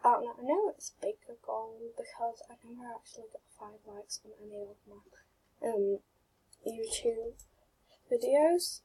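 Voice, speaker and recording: A woman hosts her own gaming channel, talking quietly and awkwardly as she plays. Her voice is very breathy and a little high, and she speaks slowly, trailing off into mumbles and giggles. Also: animated, low-quality recording. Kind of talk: gameplay commentary.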